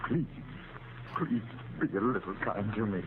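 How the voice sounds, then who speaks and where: An actor playing a meek, tormented ventriloquist, pleading and unstable, in a 1940s radio drama. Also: male voice, lowish voice, a little slow, close to an everyday voice. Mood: hurt, pleading. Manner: pleading, overwrought. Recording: tinny, noisy old radio recording.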